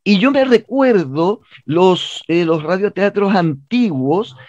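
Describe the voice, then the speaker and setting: A man's voice: high voice, expressive, takes it slowly, a little mellow. A Chilean man speaking conversationally as an interview guest, often hesitating and repeating words as he searches for phrasing. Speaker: interview guest, theatre director and playwright. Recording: dull, band-limited, dry sound.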